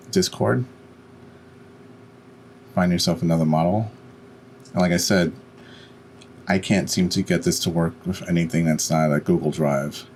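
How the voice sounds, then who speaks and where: A man hosting a step-by-step software tutorial with plain, unhurried instruction. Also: low-pitched, slight background noise. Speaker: tutorial host. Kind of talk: step-by-step software screencast narration.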